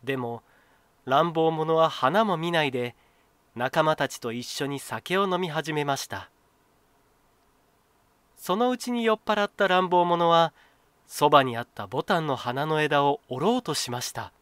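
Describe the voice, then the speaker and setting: A man reading a children's folktale as a storyteller, in an expressive, clearly phrased scripted style. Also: on the high side, measured pace, no room sound.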